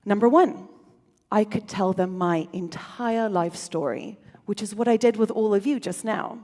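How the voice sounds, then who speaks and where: A woman speaker, a native Russian speaker, giving a prepared stage talk in a playful, expressive manner with rhetorical questions. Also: mid-range voice, unhurried. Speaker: conference speaker. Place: lecture hall stage. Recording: reverberant hall with faint music.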